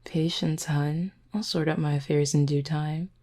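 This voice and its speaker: A woman's voice: sits low, fairly even intonation, measured pace, dark tone. A woman playing a flirty, confident werewolf who teases her listener in an intimate roleplay audio drama. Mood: playful, teasing. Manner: teasing, flirtatious, smooth-talking. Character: flirty, teasing, confident female werewolf pack beta.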